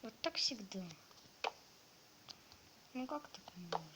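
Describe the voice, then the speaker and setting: A woman with a sore throat, slightly ill, quietly muttering a casual commentary on the game she is playing. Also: medium pitch, animated, slow. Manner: quiet, half-whispered muttering to herself. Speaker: gamer narrating her play. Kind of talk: casual let's-play commentary. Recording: loud background noise.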